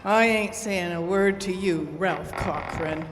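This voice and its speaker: A woman playing a brash, rough-talking frontier cook with slangy, defiant speech in an old-time radio adventure. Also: fairly low pitch, takes it slowly. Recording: reverberant hall, clear background noise.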